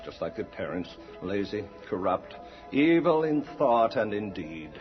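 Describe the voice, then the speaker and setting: A stern zealot of a father in an old radio drama. He preaches and domineers with vehement, sweeping swings of pitch. The recording is muffled, with music underneath. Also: big pitch swings, unhurried. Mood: righteous anger. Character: stern fanatically religious father, harsh and domineering.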